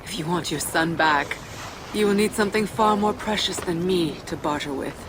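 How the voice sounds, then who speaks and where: An actress playing a haughty young fire-giant princess in a video game, sardonic and defiant. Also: female voice, on the low side, normal speed, background noise, lightly acted. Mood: scornful, defiant. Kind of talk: video game cutscene acting.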